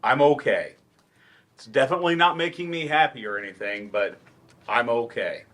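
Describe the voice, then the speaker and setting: A man's voice: medium pitch, normal speed, a bit bright, close to an everyday voice. A man reading the part of a dejected, sarcastic writer turned teacher in a staged play, dry and wordy. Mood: defensive. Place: library event room, staged reading.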